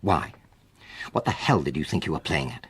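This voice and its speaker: An actor playing a wronged, coldly sarcastic husband in a radio drama, with a dry, deliberate manner. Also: male voice, extremely low pitch, very animated, husky, full character voice. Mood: cold, accusing. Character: wronged husband, former rugby man, coldly sarcastic and controlled. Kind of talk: naturalistic radio drama acting.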